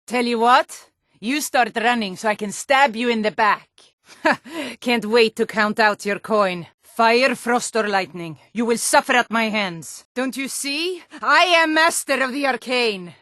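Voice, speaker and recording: A woman's voice: medium pitch, fairly bright tone, no room sound, close to an everyday voice. Stern, menacing warrior woman, acted, dry close recording. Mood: menacing, taunting. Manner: stern, threatening, taunting. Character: tough, menacing female Nord guard and bandit. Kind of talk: video game character voice lines.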